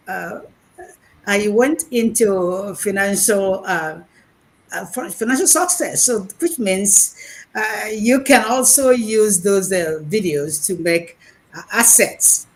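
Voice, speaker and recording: A woman in her sixties with a Nigerian accent, giving a chatty, halting speech over a video call at an online graduation. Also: medium pitch, low-quality recording. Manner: chatty and animated, restarts and repeats words, hesitant fillers. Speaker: graduating program participant, retired math lecturer.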